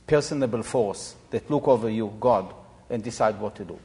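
A man in his seventies from Israel, explaining informally to an audience in a halting, reflective way. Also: fairly low pitch, warm tone. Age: 70s. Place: large echoey room or hall. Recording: reverberant hall sound.

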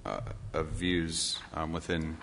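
A man's voice: extremely low pitch, fairly even intonation, slow pace, creaky, fairly bright tone. A man interviewing informally, tentative and searching for his words as he frames questions. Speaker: interviewer, moderator. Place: large echoey room or hall. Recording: reverberant hall with background noise.

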